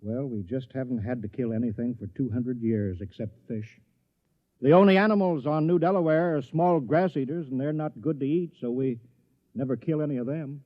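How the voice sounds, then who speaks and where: An actor playing a fussy, apologetic village mayor, flustered, polite and stammering, in old radio drama style. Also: male voice, medium pitch, normal speed, no echo, natural voice. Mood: embarrassed, apologetic. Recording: dull, dry old radio recording.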